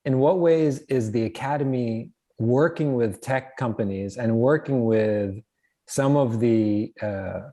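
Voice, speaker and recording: A man's voice: mid-range voice, slow pace, dark tone. A man hosting a conference panel, framing his questions hesitantly with many "uh"s and restarts. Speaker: host and moderator. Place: conference stage.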